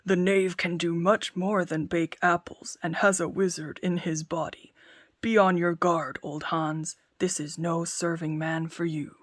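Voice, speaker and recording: A woman voicing a huge, dim-witted male giant who growls slowly and loudly. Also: little pitch movement, no echo, clean studio sound. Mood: wary. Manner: slow booming growl.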